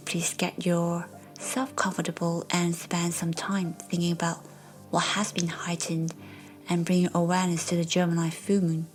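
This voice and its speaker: A woman narrating a guided meditation in a soft, breathy, soothing way, as a meditation guide. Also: on the low side. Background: soft background music.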